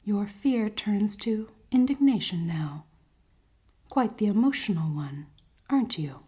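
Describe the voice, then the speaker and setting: Woman playing a slow, breathy, haughty elven queen. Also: mid-range voice, expressive. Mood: haughty, amused. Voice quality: soft, breathy, often whispering. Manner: slow, formal, commanding, teasing. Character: cold-hearted, dominant elven queen, haughty, secretly caring. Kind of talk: ASMR fantasy roleplay.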